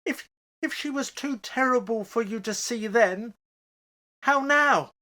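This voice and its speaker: A woman playing an anguished elderly parent who tries to reason through grief, pleading and halting in an amateur radio play. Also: a little high, bright, forceful tone. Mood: alarmed.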